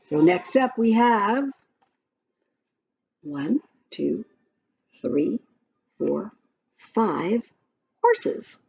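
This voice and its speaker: Woman slowly reading a children's storybook. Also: mid-range voice, vocal fry, husky. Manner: expressive, sing-song delivery for small children. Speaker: storyteller reading a picture book aloud. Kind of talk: read-aloud children's storytelling with chatty asides about the pictures. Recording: slightly dull lo-fi, music behind. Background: music.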